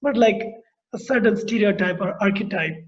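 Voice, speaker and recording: A middle-aged man with an Indian accent, interviewing a guest in a thoughtful, explanatory way over a video call from his home. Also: sits high. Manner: thoughtful, explanatory, speaks in long measured phrases. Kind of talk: reflective conversation. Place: room at home. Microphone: laptop mic on a video call. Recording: dull lo-fi video call audio.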